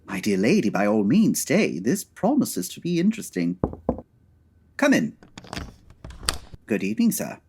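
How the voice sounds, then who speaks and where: A woman playing a pedantic male Victorian detective in a comedy audio drama, with a British accent and formal, exacting, husky delivery. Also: rumbling low, wildly swooping pitch, measured pace, pauses often, mellow, a little hiss or hum, heavily acted. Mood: composed, formal.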